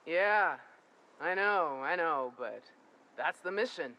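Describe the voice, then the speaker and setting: An actor playing a weary frontier soldier with a drawl, casual and folksy. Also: male voice, on the high side, lively intonation, slow, pushed and bright, full character voice, poor audio. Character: weary frontier soldier in trouble, adult man.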